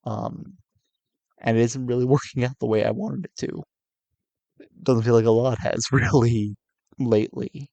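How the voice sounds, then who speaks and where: A tired young man in his twenties, coming down with a cold, with a low raspy voice, talking hesitantly and candidly to his viewers. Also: steady tone, moderate pace, pauses often, dry recording, lo-fi sound. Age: 20s. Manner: hesitant, soft-spoken, many fillers and restarts. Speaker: YouTuber. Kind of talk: candid confessional vlog.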